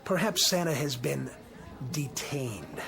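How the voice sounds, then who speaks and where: An actor playing a fussy, obsequious department store manager in a radio drama, prim and formally polite. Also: male voice, fairly high pitch, slow pace, creaky, pushed and bright, soft background music, heavily acted. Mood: flustered, placating.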